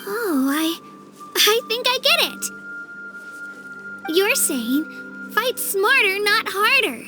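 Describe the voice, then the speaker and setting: A woman's voice: extremely high pitch, huge pitch swings, drawn-out words, music in the background, exaggerated voice. A woman playing a sweet, earnest, slightly nervous young girl trainee in a video game. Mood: earnest, thoughtful.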